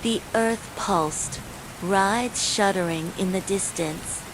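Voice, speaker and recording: Slow-paced woman narrating horror over rain. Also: mid-range voice. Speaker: storyteller. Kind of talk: scripted horror bedtime story narration. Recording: steady rain noise throughout. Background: falling rain.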